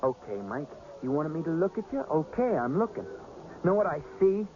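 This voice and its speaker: A muffled, noisy radio-play recording of a cocky carnival worker with a brooding obsession. His voice swings widely in pitch, curt and defensive in manner. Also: very animated, a little fast, dark tone. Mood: defensive, scornful. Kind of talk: 1980s radio drama acting.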